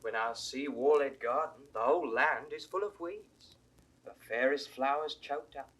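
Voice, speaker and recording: A man's voice: medium pitch, normal speed, fairly bright tone, natural voice, low-quality recording. An actor in a 1960s Shakespeare audio drama playing a discontented, questioning gardener's assistant who declaims his lines. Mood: indignant questioning.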